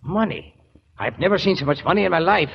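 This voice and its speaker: A man's voice: high voice, lively intonation, a little fast, gravelly. An actor playing a grumbling, reluctant but good-natured policeman in a 1970s radio drama, full of blustery, exasperated protest. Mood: alarmed. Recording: dull, noisy old radio recording.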